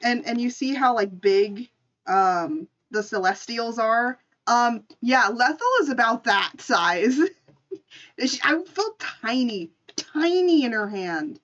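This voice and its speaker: A woman's voice: a little high, lively intonation, slow, fairly bright tone, dull audio. A woman streamer casually telling an improvised story and chatting with her live audience in an informal, chatty way. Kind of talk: casual livestream storytelling and chat.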